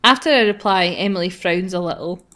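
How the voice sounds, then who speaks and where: A woman's voice: fairly low pitch, animated, normal speed, a bit bright. A woman hosting a gaming video, reading a visual novel aloud in a playful, giggly, self-mocking way.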